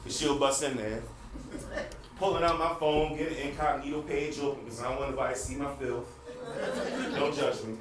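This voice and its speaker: A man's voice: medium pitch, steady tone, a little fast, pauses often, close to an everyday voice. A man acting a frustrated, wisecracking husband in a comedic stage monologue, talking straight to the audience with exaggerated, self-deprecating delivery. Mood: wry embarrassment. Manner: conversational, addresses the audience, exaggerated. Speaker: stage actor performing a monologue. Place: stage in a theater. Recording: noisy, echoey theater recording from far off.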